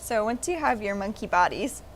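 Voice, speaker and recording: A woman's voice: mid-range voice, expressive, moderate pace, a little hiss or hum. A woman leading a children's library craft, explaining each step simply and in a friendly way.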